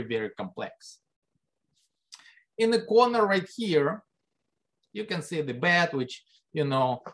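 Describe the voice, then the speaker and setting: A male biology lecturer explains his points to the class. His delivery is conversational and full of rhetorical questions. His pitch leaps up on key words for emphasis. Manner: lively pitch jumps for emphasis. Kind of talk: recorded classroom lecture over slides.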